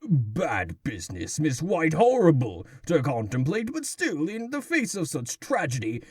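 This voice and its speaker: A man's voice: on the high side, wildly swooping pitch, unhurried, darkish tone, slightly rough, no echo, clean studio sound, full character voice. Pompous, swooping theatrical mayor played by a man. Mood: grave, theatrical. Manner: grandiose, oratorical, condescending. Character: pompous, theatrical male mayor of a tiny exiled community. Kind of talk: comic dub character acting.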